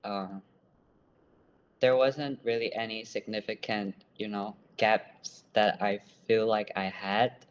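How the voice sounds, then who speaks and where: Young man, Burmese accent, low pitch, casual interview answers, self-effacing, hesitant, dull lo-fi audio. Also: fairly even intonation, slow pace, a little hiss or hum. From Myanmar. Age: young adult. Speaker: student guest.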